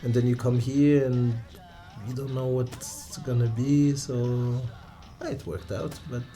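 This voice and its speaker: Slow, mellow-voiced man with a Romanian accent. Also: mid-range voice, little pitch movement, soft background music. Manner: halting, frequent restarts and fillers, laughs while telling stories. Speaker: interviewee. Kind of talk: relaxed personal storytelling.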